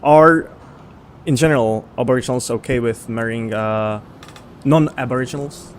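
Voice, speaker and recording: A male interviewer puts questions to a guest, pausing often with fillers between phrases. His voice sits at an ordinary middle pitch. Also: slight background noise. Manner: asks questions with many hesitations.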